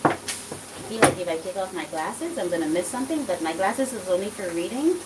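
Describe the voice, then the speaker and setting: A woman's voice: medium pitch, animated, fairly quick, recorded from a distance. Chatty Trinidadian woman cooking at home. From Dominica, raised in Trinidad and Tobago. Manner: chatty, rambling, talks while cooking. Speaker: cooking channel host. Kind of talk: casual cooking vlog chat. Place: home kitchen. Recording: loud kitchen noise, voice off-mic. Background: sizzling food frying.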